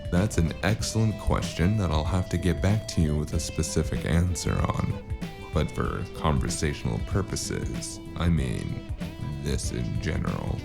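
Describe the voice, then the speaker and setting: A man plays a world-weary cyberpunk gun for hire in a noir audio drama. His voice is extremely low and full of fry, dry and deadpan, with plucked guitar music behind it. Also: a little slow, heavily acted. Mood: puzzled, dry. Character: weary, wry, hard-boiled cyberpunk hired gun narrating his story. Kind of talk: noir first-person narration and audio drama dialogue.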